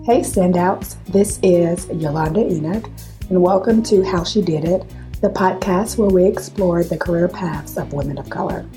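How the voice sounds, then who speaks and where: A woman hosting a podcast, her voice hoarse and scratchy from a cold. Her tone is low and mellow, and faint music plays behind her. She reads a scripted introduction and then asks her guest short questions. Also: normal speed. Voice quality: deep, scratchy voice. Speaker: podcast host. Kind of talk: podcast interview.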